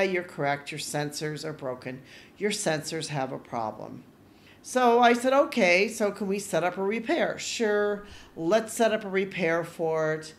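A middle-aged woman hosting her own podcast, telling a frustrating customer-service story in a lively, exasperated way and acting out the dialogue. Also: mid-range voice. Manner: animated, exasperated retelling with quoted dialogue. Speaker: podcast host. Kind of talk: casual solo podcast storytelling.

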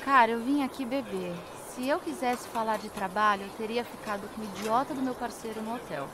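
A woman's voice: a little high, brisk, noisy background, natural voice. A woman playing a foul-mouthed, irritable, hard-drinking journalist in an audio drama, with a brusque manner. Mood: weary, curt. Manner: brusque, swearing.

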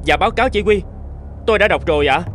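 An actor playing an earnest, brave young soldier in a cartoon, with an urgent manner. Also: male voice, high-pitched, quick pace. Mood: respectful. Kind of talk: dubbed cartoon dialogue. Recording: loud music and battle sounds behind.

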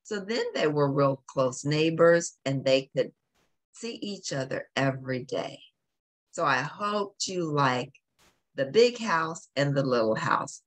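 A woman reads a children's picture book in a low voice with a lot of melodic movement. She takes her time and explains things simply for young listeners. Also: lively intonation, slow pace. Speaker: children's story reader.

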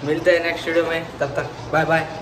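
A man's voice: on the high side, level tone, quick pace, background noise. A young man, casually narrating a vlog to his viewers. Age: young adult. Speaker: vlogger. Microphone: phone at arm's length.

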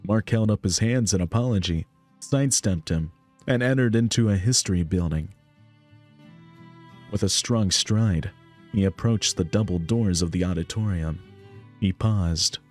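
A man's voice: low voice, unhurried, darkish tone. A young man narrating a visual novel as a storyteller. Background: music.

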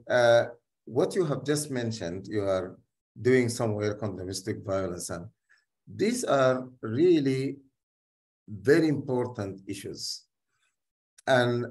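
Hesitant man, a doctor. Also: mid-range voice. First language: Arabic. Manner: hesitant, with many restarts. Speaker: community leader and doctor. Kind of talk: casual discussion.

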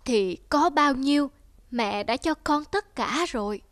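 Weary, gentle old mother. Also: high-pitched, lively intonation, a little slow, no room sound. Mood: tired, gentle. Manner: patient, plaintive. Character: poor, self-sacrificing elderly mother, gentle and weary. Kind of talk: animated moral-tale dialogue.

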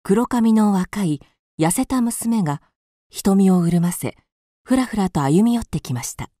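A woman's voice: mid-range voice, lively intonation, measured pace, dark tone, dry recording, studio quality. A woman narrating a radio drama from a script as its storyteller.

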